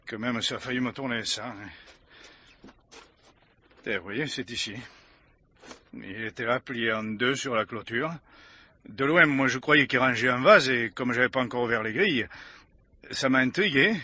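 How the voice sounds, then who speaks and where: An actor playing an excitable, folksy cemetery caretaker in a radio mystery, stammering and rambling. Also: male voice, mid-range voice, measured pace, pauses often. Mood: chatty, shaken. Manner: flustered, stammering, chatty, mangles learned words. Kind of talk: French radio mystery play acting.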